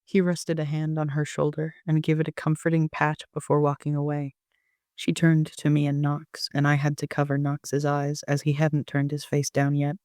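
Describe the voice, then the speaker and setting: A man narrating a first-person story like an amateur audiobook reader, with an even storytelling delivery. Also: fairly high pitch, clean tone, no room sound, studio quality.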